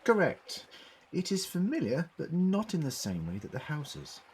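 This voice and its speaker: A man's voice: fairly high pitch, sing-song, normal speed, breathy edge, heavily acted. A man acting in an audio drama as an eccentric, inquisitive Doctor who muses precisely as he investigates. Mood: inquisitive, musing. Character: male time-travelling Doctor, curious, eccentric, quick-thinking investigator.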